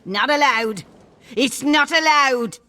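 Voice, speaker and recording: A woman playing an addled, gruff old granny in a video game, scolding, muttering and rambling in an aged, wavering voice. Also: high voice, slow, bright, forceful tone, heavily acted. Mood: scolding, suspicious. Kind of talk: video game character acting.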